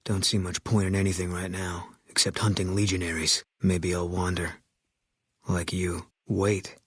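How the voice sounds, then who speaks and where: Low, husky, grim sniper. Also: male voice, moderate pace, dry recording, studio quality. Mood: guarded, weary. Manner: terse, flat, clipped, brooding delivery. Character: grim, bitter male ex-army sniper, guarded and grieving widower. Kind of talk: video game voice acting.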